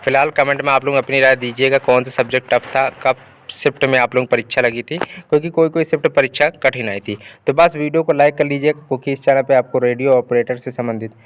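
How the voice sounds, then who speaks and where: A man's voice: medium pitch, fairly even intonation, fast talker, a little hiss or hum. A man presenting exam updates as an informal explainer to students, repetitive and often adding short check-in tags. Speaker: exam news video presenter.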